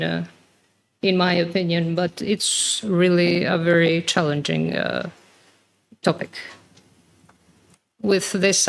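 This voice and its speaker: A woman's voice: lowish voice, vocal fry. A woman with a Serbian accent giving hesitant panel remarks, full of fillers. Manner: hesitant, many fillers, careful phrasing. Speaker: book author and panel speaker.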